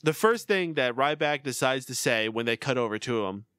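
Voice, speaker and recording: A man's voice: medium pitch, expressive, moderate pace, sharp tone, no echo, clean studio sound. A man co-hosting a podcast, chatting casually through a recap of a wrestling show and laughing often. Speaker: podcast co-host.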